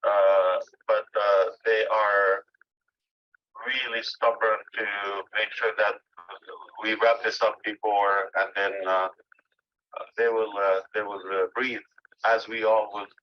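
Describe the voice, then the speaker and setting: A man giving an informal update to members as a company leader on a group call, speaking haltingly with pauses mid-phrase. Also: lowish voice, flat delivery, a little hiss or hum. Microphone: phone or internet call line. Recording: dull lo-fi phone call audio.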